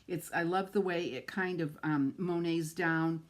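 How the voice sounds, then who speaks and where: A woman's voice: lowish voice, a little slow. A middle-aged woman with a New England accent, chatting and gushing as she demonstrates card making on a live stream and greets viewers by name.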